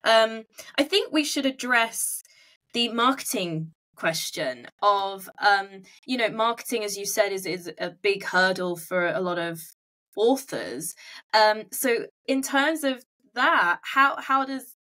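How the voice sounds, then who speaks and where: A woman's voice: medium pitch, expressive, slow pace, sharp tone. A woman hosting an interview over a video call, phrasing her questions in a tentative, roundabout way. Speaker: podcast host, interviewer. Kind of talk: conversational interviewing.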